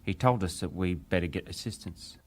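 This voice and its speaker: An actor playing a young British wireless operator giving testimony in a formal, deferential, precise way, as in a dramatized hearing. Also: male voice, sits low, normal speed. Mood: precise, factual.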